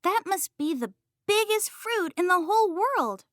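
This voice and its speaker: A woman voicing an eager, stubborn little boy mouse in a children's picture-book read-aloud, boastful and excitable. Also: very high voice, a little slow, dry recording, clean studio sound, voice pushed up, full character voice. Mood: awestruck.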